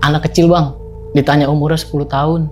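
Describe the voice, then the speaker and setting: Animated Betawi man telling a story. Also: on the high side, fast talker, soft background music. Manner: animated, slangy, acts out quotes, laughs often. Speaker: podcast guest storyteller. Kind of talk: casual spoken storytelling.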